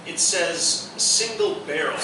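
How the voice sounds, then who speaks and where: A man's voice: fairly high pitch, animated, slow pace. A very echoey, noisy live radio-play recording with the voice at some distance. An actor plays a curious male companion with a dry, teasing way of talking. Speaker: stage actor. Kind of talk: live radio-play dialogue before a microphone. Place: live theatre performance space.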